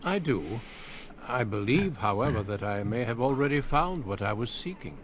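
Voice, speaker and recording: An actor playing a calm, confident veteran warrior in a fantasy video game, speaking with measured, formal courtesy. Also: male voice, mid-range voice, slight background noise, close to an everyday voice. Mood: calm, unyielding.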